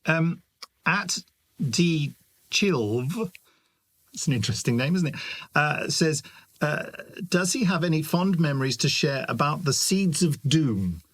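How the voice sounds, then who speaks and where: A man's voice: a little high, lively intonation, a little slow, a bit bright, no echo. A British man interviewing conversationally, reading out questions sent in by fans.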